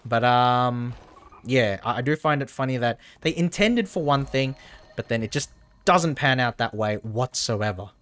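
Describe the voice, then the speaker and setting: A man's voice: mid-range voice, unhurried, fairly bright tone. A man casually commentating on a game as he livestreams, rambling and often repeating his phrases. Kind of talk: casual gameplay commentary and chat.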